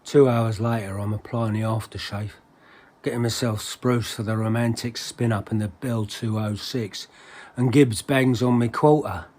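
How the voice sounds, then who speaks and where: An actor playing an agitated, paranoid English henchman in a dramatic monologue, husky, wordy and slangy, with theatrical repetitions. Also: medium pitch, lightly acted. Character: agitated paranoid henchman ranting about a superspy, colourful slangy storyteller.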